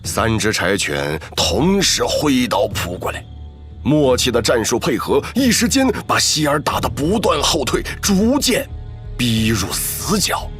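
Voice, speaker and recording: Male narrator, dramatic scripted delivery, unhurried, wide-swinging intonation, music behind. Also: medium pitch, very animated, a bit bright.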